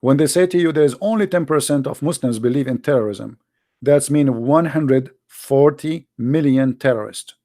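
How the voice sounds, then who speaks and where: A man's voice: mid-range voice, moderate pace, warm tone, dry recording, studio quality. Emphatic man with an Arabic accent. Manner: emphatic, blunt, repeats phrases, rhetorical questions. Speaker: host, religious polemicist. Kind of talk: impassioned informal monologue to online audience.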